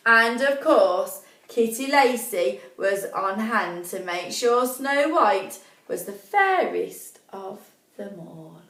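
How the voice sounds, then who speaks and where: A woman reading a children's story as expressive, deliberate narration, pausing to put questions to young listeners. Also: fairly high pitch. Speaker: primary school story reader. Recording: echoey room, distant mic.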